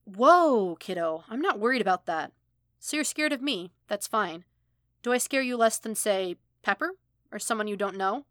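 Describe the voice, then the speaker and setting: A woman's voice: mid-range voice, expressive, normal speed, fairly bright tone, dry recording, clean studio sound, natural voice. A woman voicing a casual, blunt but caring older male mentor in a story, talking steadily and reassuringly. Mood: upbeat, reassuring.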